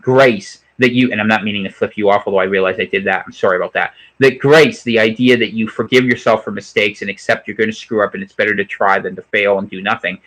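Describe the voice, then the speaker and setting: A man's voice: quick pace, hardly any pauses, low-quality recording. An American man hosting an unscripted livestream, reading chat comments aloud and giving opinionated, digressive commentary. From United States. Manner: animated, opinionated, digressive, pitch jumps up sharply on emphatic points.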